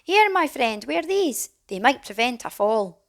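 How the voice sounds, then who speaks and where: A woman's voice: sits high, very animated, measured pace, pitched above the performer's own voice, heavily acted. A woman voicing a male film star, astonished but friendly, in a children's storybook. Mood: helpful, calling out.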